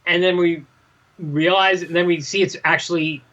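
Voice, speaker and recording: A podcast co-host chatting casually about comics, thinking aloud in an animated way. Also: mid-range voice, normal speed, muffled recording. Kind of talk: casual comic book discussion.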